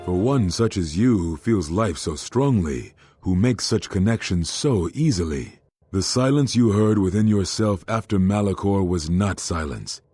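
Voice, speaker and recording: Brooding jedi master from a game, deep dark voice, grave and ominous. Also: male voice, animated, breathy edge, dry recording, faint music behind, heavily acted. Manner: slow-burning, ominous, weighty. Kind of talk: dramatic video game dialogue.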